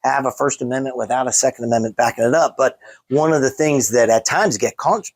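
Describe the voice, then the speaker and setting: A man's voice: medium pitch, a little fast, no room sound. An American man hosting a casual on-location interview as a legislator, talking in a rambling way with false starts. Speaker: state legislator and show host.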